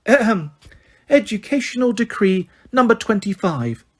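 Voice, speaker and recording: A man's voice: sits high, animated, moderate pace, voice pushed up. A man voicing a simpering female official with a sickly sweet, fussy way of talking.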